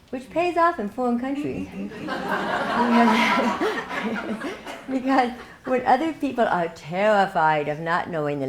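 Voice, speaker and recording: Older woman giving a speech in an echoey hall. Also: medium pitch. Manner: reflective, warm storytelling with light laughs. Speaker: keynote speaker. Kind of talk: speech to a club meeting. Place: meeting hall. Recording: echoey hall, faint noise.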